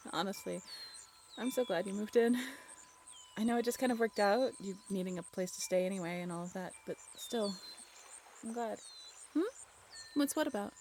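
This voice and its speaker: A woman's voice: mid-range voice, moderate pace, pauses often, a little mellow, a little hiss or hum, natural voice. A young woman playing a playful, tough-talking but shy writer in an intimate, chatty audio roleplay. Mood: content, tender, then eager, storytelling. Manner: chatty, teasing, giggly.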